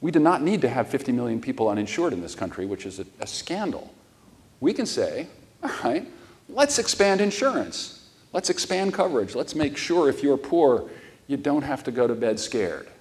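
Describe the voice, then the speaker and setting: A man's voice: medium pitch, big pitch swings, normal speed. A middle-aged man giving a conference keynote lecture on a ballroom stage, explaining steadily with emphatic stresses. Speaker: keynote speaker.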